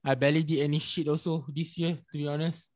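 A man's voice: a little high, little pitch movement, quick pace, a little mellow. A young man from Malaysia with a Malaysian English accent, co-hosting a casual chat and rambling with repeated words. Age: young adult. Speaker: podcast co-host.

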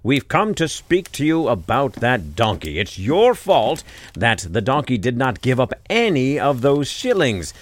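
A man's voice: on the low side, big pitch swings, unhurried. A man doing greedy storybook robbers. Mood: accusing. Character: greedy band of male robbers.

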